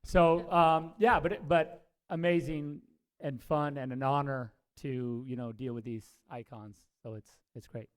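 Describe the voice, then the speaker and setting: A film director answering a press question casually, rambling with fillers and restarts. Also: male voice, mid-range voice, fairly even intonation, unhurried.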